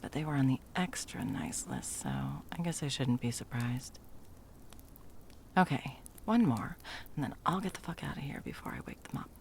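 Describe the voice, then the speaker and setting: A woman's voice: extremely low pitch, sing-song, normal speed, gravelly, full character voice. A woman playing a frazzled, sarcastic, foul-mouthed Christmas delivery elf in a whimsical comedic ASMR roleplay, muttering and often dropping to whispers. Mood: self-justifying.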